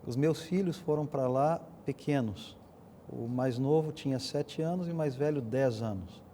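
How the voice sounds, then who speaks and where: A man's voice: mid-range voice, unhurried, dark tone, a little hiss or hum. A middle-aged or older man with a Brazilian accent, telling stories conversationally as a panel guest. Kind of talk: conversational storytelling in a discussion.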